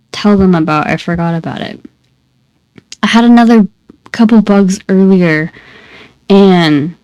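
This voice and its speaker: A woman's voice: medium pitch, darkish tone, clean tone, no room sound, low-quality recording. A woman hosting a gaming video, talking casually to viewers and rambling through asides, her voice stuffy and congested. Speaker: gaming channel host. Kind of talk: casual gameplay commentary.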